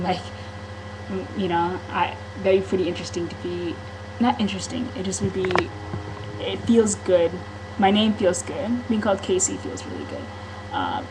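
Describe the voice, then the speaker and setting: Low-voiced woman, candid and rambling. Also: background noise. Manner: candid and rambling, with frequent ums and restarts. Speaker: vlogger. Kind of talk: casual personal vlog monologue.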